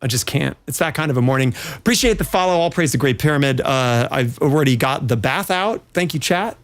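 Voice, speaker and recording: A man's voice: medium pitch, lively intonation, a little fast. A man hosting a casual livestream, chatting in a playful, rambling way. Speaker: pinball stream host.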